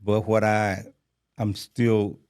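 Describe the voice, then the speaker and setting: A man's voice: lowish voice, little pitch movement, slow pace, a little mellow, clean tone. A man hosting a podcast, asking probing follow-up questions of his guest. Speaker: podcast host. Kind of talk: interview questions.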